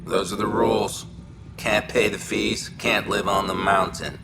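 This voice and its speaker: An actor playing a gruff, wry exile who runs a rough outpost inn in a science fiction video game. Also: male voice, lowish voice, fairly even intonation, unhurried, very noisy. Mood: resigned, proud.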